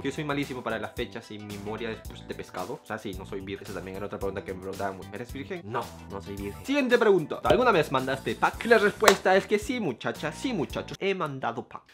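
A young Peruvian man with a Lima accent chats playfully to his viewers from a small room. His voice sits a bit high and bounces all over the place, jumping from loud jokes to hushed confessions. Soft music plays faintly behind him. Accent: Peruvian Spanish, from Lima. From Peru. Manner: expressive, jokey, shifts between loud and hushed. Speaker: vlogger. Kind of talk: casual talking-head Q&A.

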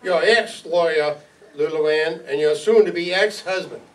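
Man acting a high-voiced formal lawyer. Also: a little slow, close to an everyday voice. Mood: composed. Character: smooth, wealthy male attorney in a noir story. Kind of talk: 1950s radio drama acting. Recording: distant microphone in a hall.

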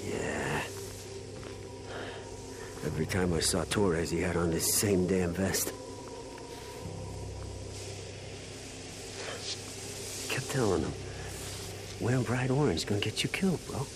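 A man's voice: sits low, moderate pace, pauses often, natural voice. An actor playing an edgy, argumentative, distrustful male survivor in a video game cutscene. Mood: tense, subdued. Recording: clear noise and ambience behind.

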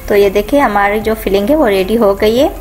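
A woman hosting a home cooking channel, calmly talking viewers through each step of a recipe in a voice-over. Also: medium pitch, brisk, faint music behind. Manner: calm, instructive, repeats each step.

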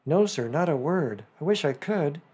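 A shrewd, polite fifteen-year-old newsboy, as a man puts him on, pitched up and pushing his words forward. He sounds eager and respectful, with a slight huskiness under the voice. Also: expressive, normal speed, darkish tone, slightly rough. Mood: bitter.

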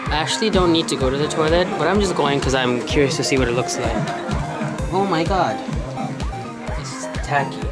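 A man's voice: a little high, brisk. A man in his thirties giving a chatty, wide-eyed vlog tour of a venue, filmed on a handheld camera. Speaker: travel vlogger. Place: busy station and neon-lit venue, handheld. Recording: loud music behind.

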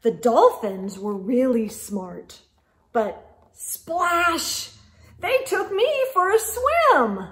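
A woman's voice: high-pitched, sing-song, very slow, exaggerated voice. A woman voicing an eager, easily exasperated Santa Claus telling his storybook tale with emphatic exclamations. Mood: dramatic recounting. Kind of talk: storybook narration in first person. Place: indoor room lined with bookshelves.

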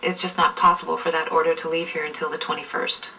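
A woman's voice: low-pitched, level tone, quick pace, bright, forceful tone, slight background noise. A woman acting as a harassed, resigned order clerk in a workplace training drama. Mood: resigned, frustrated. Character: harassed, resigned female order clerk, anxious about her career. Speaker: actor in a training film. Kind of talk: acted corporate training drama. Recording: distant, re-filmed off a TV screen.

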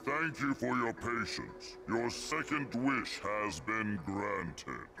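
An actor playing a huge, solemn wish-granting dragon with a formal, ponderous delivery in an anime game. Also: male voice, fairly low pitch, lively intonation, slow pace, gravelly, music in the background, full character voice. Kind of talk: English dub of an anime video game cutscene.

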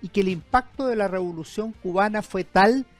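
A man's voice: sits high, moderate pace, faint music behind. A middle-aged man hosting a studio interview, asking long, winding, friendly questions. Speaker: historian and TV show host. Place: TV studio set. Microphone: headset mic.

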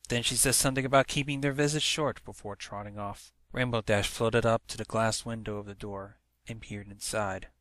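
A man's voice: fairly low pitch, steady tone, moderate pace, hardly any pauses, a bit bright, dry recording. A man reading a story aloud as narrator, in a dramatic storytelling manner. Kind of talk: scripted story narration, fan fiction read-aloud.

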